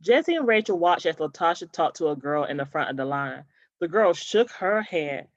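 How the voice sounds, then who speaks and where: A woman's voice: low voice, expressive, normal speed, no echo, lo-fi sound. A woman reading a children's story aloud expressively, in the manner of a teacher.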